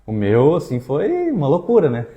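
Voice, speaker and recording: A man's voice: a little high, huge pitch swings, moderate pace, narrow, tinny audio. A man with a southern Brazilian accent in casual conversation, telling personal stories with slang and frequent tag questions. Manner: colloquial, uses slang and frequent tags. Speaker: exchange agency staff member co-hosting a talk.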